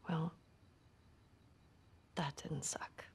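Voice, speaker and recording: A woman's voice: deep voice, fairly even intonation, extremely slow, husky, in a whisper, heavily acted. An actress playing a guarded, wry teenage girl in a game cutscene, with an American accent and a dry, hesitant, understated delivery. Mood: wry, softened. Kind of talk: naturalistic game cutscene acting.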